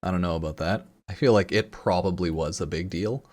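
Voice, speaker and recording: A man's voice: low voice, a little fast, a little mellow. A man giving casual, rambling commentary as a gaming YouTuber, informal and noncommittal, with some mild swearing. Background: music in the background.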